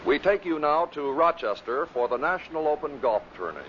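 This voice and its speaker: A man's voice: on the high side, lively intonation, a little fast. A man giving scripted narration as the presenter of a compilation. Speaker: narrator introducing radio bloopers. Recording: dull vintage recording, faint noise.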